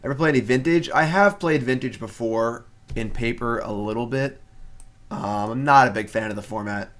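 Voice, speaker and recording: A young man with a mid-range voice talks through his card game on a live stream. His delivery is relaxed and conversational, with wry asides and frequent fillers. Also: medium pitch, normal speed. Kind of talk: casual live-stream commentary.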